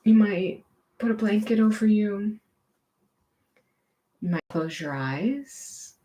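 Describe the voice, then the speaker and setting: A woman teaching gentle movement and meditation in a reassuring, permissive, unhurried manner. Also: mid-range voice, a little hiss or hum.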